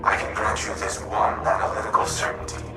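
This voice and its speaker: A man voicing a grave, dutiful artificial intelligence in a video game, speaking in formal, measured, analytical phrases. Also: fairly low pitch, fairly even intonation, lightly acted. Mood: remorseful, reflective. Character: grave male warmind AI in a robotic body, remorseful and dutiful. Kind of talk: scripted video game dialogue. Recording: echoing voice under loud music.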